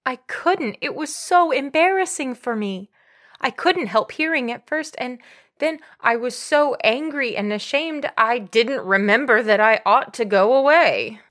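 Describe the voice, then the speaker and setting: A woman's voice: mid-range voice, unhurried, fairly bright tone, natural voice. A woman playing an earnest, bashful young woman who confides guiltily in an audiobook drama. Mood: embarrassed. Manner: confessional, self-reproachful. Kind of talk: dramatized audiobook character acting.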